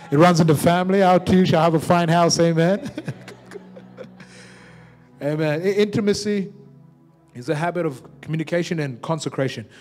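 A young man with a high voice preaches on a church stage, speaking into a handheld microphone. He builds to fervent peaks and keeps returning to amen. Soft music plays faintly underneath. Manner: preaching with rising fervor, repeated amens, handheld mic. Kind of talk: sermon.

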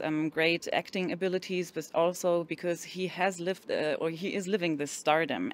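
A woman in her thirties working as an interpreter, translating hesitantly with many pauses. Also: low voice. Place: indoor festival interview room.

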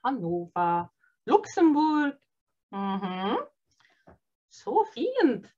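A woman teaching an online beginner Norwegian course, cheerful and encouraging, modelling phrases slowly and clearly. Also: fairly high pitch, sing-song, mellow, highs cut off. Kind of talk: online beginner language lesson.

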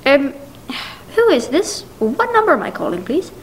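A woman's voice: high voice, sing-song, moderate pace, a little hiss or hum. A woman playing a nervous, indignant, imperious housewife who stammers insistently, in 1940s radio play style. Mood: anxious, impatient. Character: nervous, demanding, indignant wealthy housewife alone at night. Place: dark stage, masked, speaking into prop telephone.

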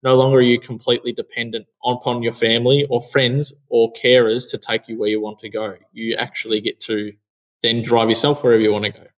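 A man's voice: mid-range voice, flat delivery, a little fast, low-quality recording. A young man with an Australian accent hosting a casual chat over a video call from an office, reading parts from a script. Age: young adult. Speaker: host. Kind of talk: casual interview with partly read script. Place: office room, indoors. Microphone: headset on video call.

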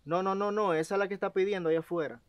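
A young man acting as an arrogant, bossy estate owner who gives sharp, scornful orders on an outdoor terrace. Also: sits high, steady tone, racing, smooth, dry recording, close to an everyday voice. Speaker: actor. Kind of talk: web drama acting. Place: outdoor terrace in green hills.

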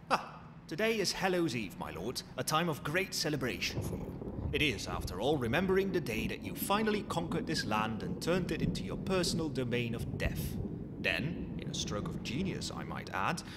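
A man's voice: mid-range voice, natural voice. A man playing a courteous, cheeky undead skeleton butler in an ASMR roleplay, with a formal, theatrical manner. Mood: ceremonious, proud. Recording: long hall reverb, noisy ambience.

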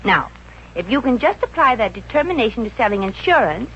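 Brash wisecracking girlfriend, swoopy animated voice, old radio comedy, dull hissy recording. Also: a little high, breathy edge, heavily acted. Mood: bossy, coaxing. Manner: brisk, wisecracking. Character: brash, scheming working-class girlfriend, bossy but soft-hearted. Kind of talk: 1950s radio comedy acting.

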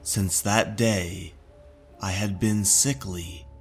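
A man narrating a scripted horror story as host, deliberate, with dramatic pauses. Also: fairly low pitch, fairly even intonation, husky, soft background music.

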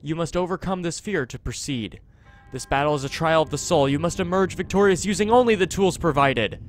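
A man voicing a grave, formal spirit that announces a trial, reading out video game dialogue in a measured way. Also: on the high side, faint music behind, close to an everyday voice. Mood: solemn. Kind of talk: scripted video game dialogue read aloud.

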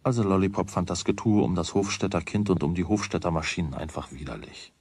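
A man narrating a children's radio play as a wry, deliberate storyteller with a husky voice. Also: low-pitched, darkish tone, no echo. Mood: wry, measured. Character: wry storyteller of a boy's everyday troubles.

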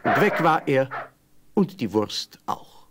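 A man narrating a fairy tale from a script as an expressive storyteller. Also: mid-range voice, slow pace, heavy background noise.

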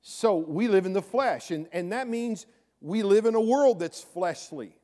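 A middle-aged man preaching a sermon from a church stage, chatty and then suddenly loud, often repeating his words. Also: high voice, animated, measured pace. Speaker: pastor. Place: large church sanctuary with stage, indoors.

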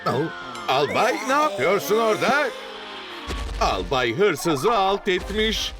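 High, lively male cartoon narrator over music. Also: slow. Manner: exclamatory, theatrical, asking rhetorical questions. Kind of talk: animated scripted cartoon narration. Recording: music under the voice.

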